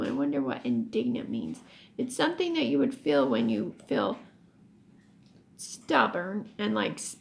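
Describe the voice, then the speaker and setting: A woman reading a children's book aloud expressively, as a teacher would, pausing to explain words. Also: on the high side, vocal fry, slight background noise. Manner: animated storytelling, pauses to explain words.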